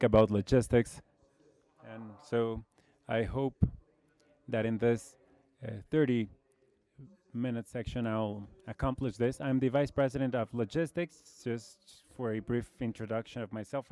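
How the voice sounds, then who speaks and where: A man in his forties from Brazil with a Brazilian Portuguese accent, giving a measured conference presentation with frequent fillers. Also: medium pitch, warm tone, clean tone, no room sound. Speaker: conference speaker, logistics executive.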